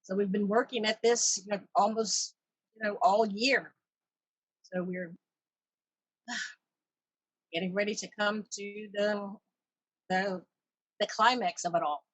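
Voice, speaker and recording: A woman's voice: medium pitch, steady tone, a little slow, pauses often, a bit bright, no room sound, poor audio. A woman hosting an online meeting in a casual, chatty way with self-deprecating humor, speaking over a video call. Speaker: exhibition chair hosting a virtual meeting. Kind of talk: casual welcome and chat. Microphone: tablet on a video call.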